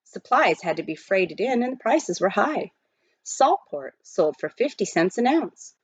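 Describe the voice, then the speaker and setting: A woman reading a children's novel aloud as an expressive storyteller. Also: medium pitch, unhurried, breathy edge, dry recording, highs cut off.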